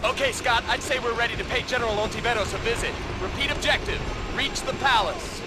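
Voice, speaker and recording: An actor playing a military commander giving brisk, authoritative mission briefings in a video game. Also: male voice, extremely high pitch. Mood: brisk, focused. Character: male military commander briefing a special forces captain over radio. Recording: very noisy, vehicle and battle noise.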